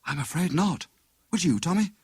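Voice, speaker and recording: Man voicing a gentle, earnest Englishwoman, raised pitch. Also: lively intonation, normal speed, breathy edge, no echo, clean studio sound. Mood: anxious. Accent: British. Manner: soft, earnest, urgent. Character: gentle, concerned young wife turned amateur detective.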